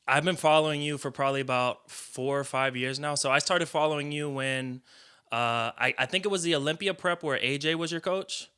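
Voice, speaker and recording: A man's voice: a little high, fairly even intonation, brisk, sharp tone, clear, resonant tone. An American man hosting a casual interview, talking in an informal, conversational way. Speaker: interviewer, host.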